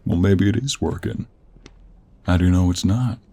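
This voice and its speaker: A man playing a gruff, teasing cowboy monster hunter in an intimate audio roleplay, with a gravelly, husky voice and an American Western drawl. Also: sits low, mellow. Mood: firm. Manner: slow, teasing drawl with folksy endearments.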